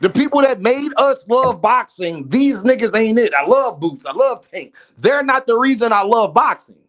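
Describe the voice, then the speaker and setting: A man's voice: very high voice, expressive, normal speed, a bit bright, muffled recording. A man hosting a casual boxing panel stream, talking emphatically and cursing freely. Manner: emphatic, swears freely, repeats names.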